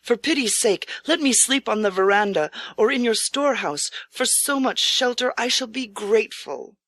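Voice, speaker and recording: A woman's voice: a little high, animated, normal speed, fairly bright tone, no echo. Woman reading as a weary, humble traveling priest. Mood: weary, pleading. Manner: formal, pleading. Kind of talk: audiobook character voice.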